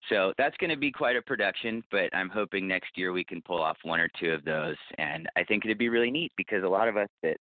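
Low-voiced man on a phone line. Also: animated, a little fast, few pauses, no room sound. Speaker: podcast guest, bookseller and podcast producer. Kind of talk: casual interview conversation. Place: phone call. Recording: roomless tinny phone line.

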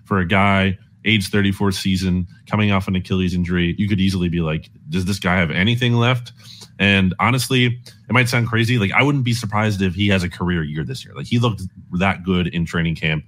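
A man's voice: low-pitched, fairly even intonation, fairly quick, a bit bright. A man giving conversational sports analysis as a guest, explaining things in an informal way peppered with "like".

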